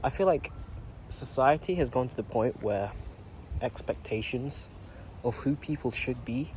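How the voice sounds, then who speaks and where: A man's voice: medium pitch, a little mellow, a little hiss or hum, rough recording. A man in his late teens, a YouTuber, talking through a rambling, earnest reflection and swearing casually.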